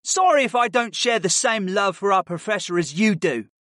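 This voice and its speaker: Man putting on a high, sneering cocky rich boy. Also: expressive, normal speed, bright, forceful tone, no room sound, studio quality. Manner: drawling, smug, mocking. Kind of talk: audiobook character acting.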